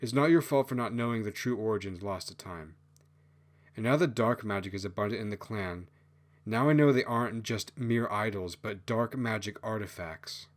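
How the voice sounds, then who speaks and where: A man voicing a stern, earnest male fox who speaks gravely in a fantasy audiobook. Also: lowish voice, normal speed, close to an everyday voice. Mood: earnest, forgiving.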